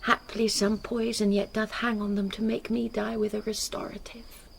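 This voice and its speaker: A woman's voice: mid-range voice, level tone, normal speed, vocal fry, slight background noise. A woman playing a grief-stricken, resolute young bride in classical Shakespearean radio drama, with intense verse delivery. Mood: hushed grief.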